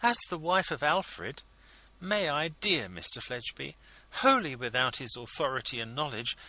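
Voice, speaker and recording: A man voicing a gushing, flattering scheming wife who pleads sweetly in a storybook reading. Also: medium pitch, normal speed, no echo, voice pushed up, full character voice, rough recording. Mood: gushing pleading.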